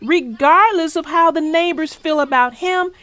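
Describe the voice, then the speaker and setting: A woman's voice: high-pitched, normal speed, fairly bright tone, dry recording. A woman hosting a children's read-aloud show, chatting about a story with young viewers.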